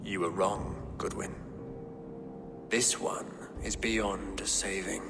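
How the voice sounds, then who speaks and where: Slow, solemn Saxon king. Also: sits low, level tone, background noise. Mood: stern. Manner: solemn, deliberate, formal. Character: pious, stern Saxon king, coolly authoritative. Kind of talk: video game cutscene acting. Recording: long reverb, music behind.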